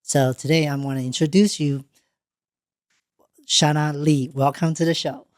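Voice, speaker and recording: A woman, not a native English speaker, hosting an interview in a studio, talking in a halting way with frequent restarts. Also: deep voice, steady tone, no room sound. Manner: halting, restarts often, plain unpolished English. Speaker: podcast host. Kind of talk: casual interview.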